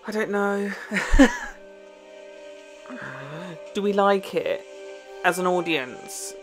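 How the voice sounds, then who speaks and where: A man's voice: sits high, very slow, faint music behind. A man in his twenties giving casual, informal reaction commentary with a self-deprecating, gushing manner. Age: 20s. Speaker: reaction video host. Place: small room at home, indoors.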